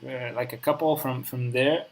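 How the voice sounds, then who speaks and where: A man with a Spanish accent whose voice sits at an ordinary, mid-range pitch. He hosts a relaxed chat in English as a second language, often stopping to search for the right word and restarting his phrases. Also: normal speed, poor audio. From Spain. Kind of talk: casual conversation.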